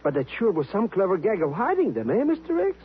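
An actor playing an eager, slangy comic sidekick with bad grammar in a 1950s radio show, on an old broadcast. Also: male voice, high-pitched, wildly swooping pitch, fast talker, husky, phone-quality sound, exaggerated voice. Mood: excited, admiring. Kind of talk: 1950s radio comedy acting.

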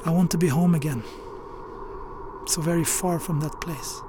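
An actor playing a haunted Scandinavian sailor, narrating gravely and wearily in a radio drama, not speaking in his native language. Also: a little high, normal speed, background noise, close to an everyday voice. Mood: weary, bleak. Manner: grave, weary, confessional. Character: haunted Scandinavian sailor recounting a horror at sea.

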